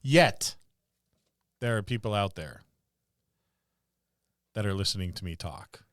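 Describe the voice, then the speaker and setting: Man, smooth clean voice, casual podcast banter, wry jokey grumbler, very dry sound. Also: lowish voice, expressive, slow pace, fairly bright tone, dry recording. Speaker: podcast co-host. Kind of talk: casual banter among co-hosts.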